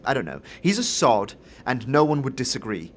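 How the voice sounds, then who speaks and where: A man's voice: medium pitch, very animated, normal speed, slight background noise, pitched above the performer's own voice, close to an everyday voice. Man acting a hesitant, nervous young foundry lad. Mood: sullen. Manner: hesitant, stammering. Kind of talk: fantasy audio drama acting.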